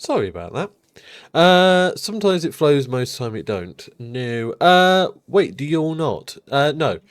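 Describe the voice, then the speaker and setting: Stuffy-nosed man chatting, lively pitch. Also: a little slow, clear, resonant tone, dry recording, studio quality. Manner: rambling, chatty, swears casually. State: blocked-up nose, just out of bed. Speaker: streamer. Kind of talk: casual chat while playing a city-building game.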